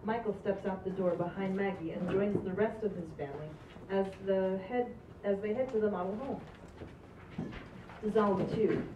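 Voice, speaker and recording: A woman's voice: mid-range voice, moderate pace, noisy background. A woman reads the scene headings and actions of a script aloud, plain and matter-of-fact. She sounds far from the mic, with a roomy echo and muffled, lo-fi sound. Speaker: stage directions reader. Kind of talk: reading script stage directions. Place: small stage with green backdrop. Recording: distant, roomy, dull audio.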